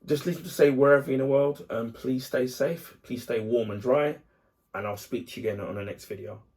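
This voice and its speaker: A man, husky and croaky from the flu, reviewing a clothing purchase in a casual vlog, with frequent ums and throat clearing. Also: mid-range voice, fairly quick, darkish tone. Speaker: YouTuber.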